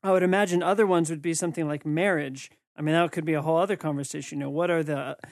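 Male host, slightly high voice, thoughtful questioning, dry room. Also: fairly even intonation, rapid-fire, dry recording. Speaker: interviewer. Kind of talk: casual interview conversation.

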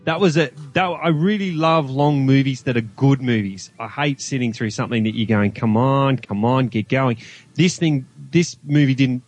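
A man's voice: mid-range voice, normal speed. A man with an Australian accent giving his views as a guest in a casual film review chat. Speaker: podcast guest.

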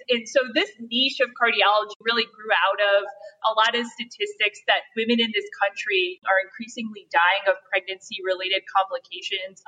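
A young woman cardiology fellow, from Hungary and raised in the US, explaining a case clearly. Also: mid-range voice, pushed and bright. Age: young adult. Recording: dull, slightly distant mic.

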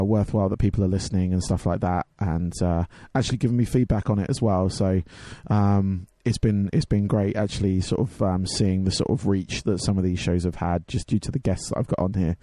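Hoarse-voiced man hosting a podcast. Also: lowish voice, mellow, no echo. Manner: rambling, many ums and restarts. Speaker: radio and podcast host, DJ. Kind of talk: casual chat.

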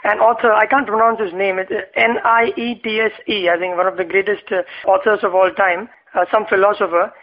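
A man's voice: high-pitched, steady tone, a little fast, hardly any pauses, highs cut off. A man hosting an interview, asking long questions in a hesitant way with frequent "uh" fillers. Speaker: interviewer, host.

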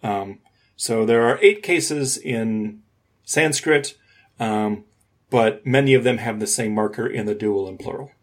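A man's voice: fairly low pitch, unhurried. A man co-hosting a podcast, explaining things informally and articulately. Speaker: podcast co-host.

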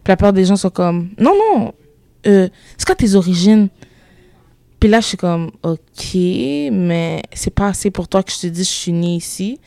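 A woman's voice: mid-range voice, sing-song, unhurried, vocal fry, dark tone, no room sound. A seventeen-year-old girl from Quebec with a Québécois accent, speaking candidly in a casual group discussion and slipping in English words. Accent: Québécois French. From Quebec, Canada. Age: teenager, 17. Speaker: student guest.

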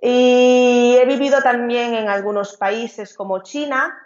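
A woman's voice: a little high, fairly even intonation, slow. Woman in a roomy room. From Vigo, Galicia, Spain. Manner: chatty, hesitant fillers, light laughs. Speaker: interview guest, teacher. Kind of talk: casual conversational interview. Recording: roomy, dull lo-fi sound.

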